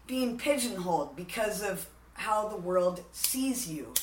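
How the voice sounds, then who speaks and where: A man with a raspy voice, deepened by testosterone, talking candidly and animatedly as a musician guest in a casual interview. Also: a little slow, reverberant, a little hiss or hum. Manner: candid, animated, frequent "like" fillers.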